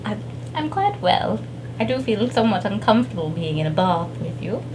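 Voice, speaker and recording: A genteel old lady detective, acted out by a woman, prim and politely ill at ease. The voice swoops widely in pitch, and there is clear noise and hum on the recording. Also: very animated, fairly quick, close to an everyday voice. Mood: prim unease.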